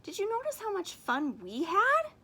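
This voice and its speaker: A woman reading aloud as a calm, gentle, wise bear with a patient, soothing manner. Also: very high voice, moderate pace, voice pushed up, heavily acted. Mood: gently wise.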